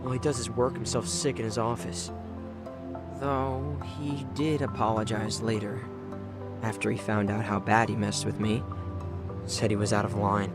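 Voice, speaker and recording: A man's voice: on the low side, level tone, moderate pace, loud music behind the voice, close to an everyday voice. An actor playing a rebellious young man with an American accent in a game dub, casual, slangy and defiant. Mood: bitter, frank. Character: cocky, rebellious, foul-mouthed young man, troubled son. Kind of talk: video game dub acting.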